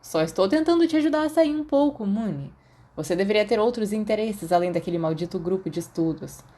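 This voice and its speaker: A Brazilian woman voicing a cheeky, teasing teenage boy wizard in a story, playful and quick to protest. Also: mid-range voice, expressive, warm tone, lightly acted.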